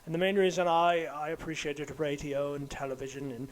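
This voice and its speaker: A man with an affected, unconvincing English accent hosts a comedic podcast monologue in a pompous, halting way, often stammering and repeating words. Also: fairly high pitch, little pitch movement, slight background noise, poor audio. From United States. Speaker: podcast host.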